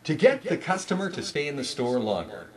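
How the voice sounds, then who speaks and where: A man's voice: a little high, sing-song, fairly quick. A man giving an instructional talk in an emphatic, salesman-like manner, as a trainer in store announcements.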